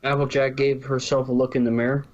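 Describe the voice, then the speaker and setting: A man's voice: mid-range voice, level tone, fast, a little mellow, lo-fi sound. A man reading a story aloud as narrator, now and then stumbling and correcting himself. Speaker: narrator, fan fiction reader.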